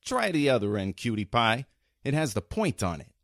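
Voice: mid-range voice, very animated, normal speed, dry recording, clean studio sound. A performer playing a flirtatious, cocky male delivery messenger in a 1940s-style radio drama, teasing and smarmy.

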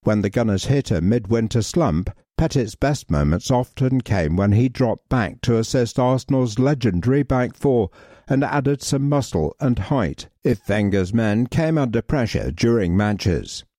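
Man, mellow dark tone, measured article narration, dry close mic. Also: medium pitch, animated, no echo. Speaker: reader. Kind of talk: scripted narration of a football history article.

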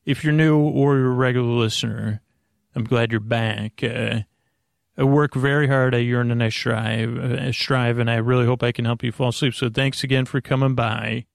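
A man with a creaky voice hosting a sleep podcast in a rambling, improvised monologue, halting and stammering as he restarts his sentences. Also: mid-range voice, fairly even intonation, no echo, clean studio sound. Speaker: host of a bedtime-story podcast to help listeners sleep.

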